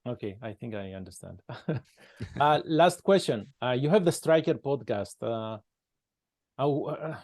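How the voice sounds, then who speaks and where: A man with a Greek accent, whose first language is Greek, asks friendly, halting questions over a video call. He laughs often between his words. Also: medium pitch, expressive, darkish tone. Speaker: interviewer, podcast host. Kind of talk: casual video-call interview.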